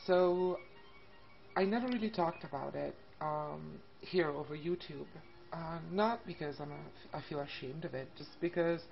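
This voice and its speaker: A woman of thirty from Italy with an Italian accent, telling a personal story casually to camera in a hesitant, halting way. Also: low-pitched, creaky. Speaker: vlogger. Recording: muffled lo-fi webcam audio, background noise. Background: classical music and faint room noise.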